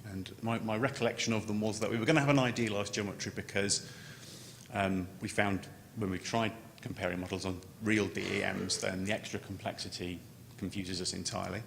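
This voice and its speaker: A man giving an unscripted technical conference presentation as a scientist, halting and often repeating words as he starts a phrase. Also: steady tone, vocal fry. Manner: halting, with stammered word repetitions. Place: large conference room. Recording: echoey hall, noticeable background noise, low rumble.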